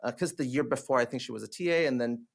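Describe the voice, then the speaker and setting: Gravelly, animated theatre director. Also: male voice, mid-range voice, quick pace. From Hong Kong. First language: Cantonese. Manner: animated, laughs often, many fillers and restarts. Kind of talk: casual podcast conversation.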